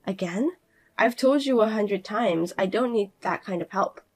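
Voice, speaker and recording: A woman voicing a calm, cool-headed young working woman who speaks quietly and firmly, narrating in the first person. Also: medium pitch, measured pace, close to an everyday voice.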